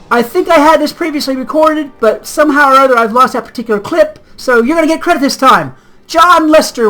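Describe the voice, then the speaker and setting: A woman hosting a casual gaming vlog, slightly hoarse as if getting sick, chatty and rambling and easily sidetracked. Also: a little high, a bit bright, poor audio. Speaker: gaming channel host.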